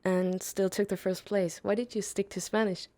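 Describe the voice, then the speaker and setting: A woman with a German accent interviewing a guest, asking questions in a measured way. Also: mid-range voice, flat delivery, dark tone. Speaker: radio interviewer.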